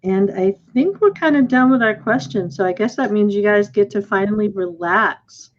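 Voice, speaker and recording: A woman's voice: medium pitch, lively intonation, moderate pace, slight background noise. A middle-aged woman hosting a casual post-show discussion as the director, effusive and appreciative in her thanks, speaking over a video call. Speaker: play director and host. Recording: muffled video call audio.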